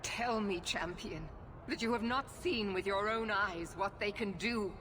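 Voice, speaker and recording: An actress playing a stern, zealous middle-aged knight commander in a fantasy game, speaking with formal, commanding severity. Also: female voice, medium pitch, a little slow, over music, close to an everyday voice. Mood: fervent, resolute. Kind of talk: dramatic fantasy game acting.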